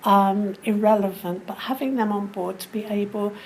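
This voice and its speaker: A woman working in community support gives a measured, explanatory account in an interview setting. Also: mid-range voice, steady tone, darkish tone, slight background noise, poor audio. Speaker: community support charity worker.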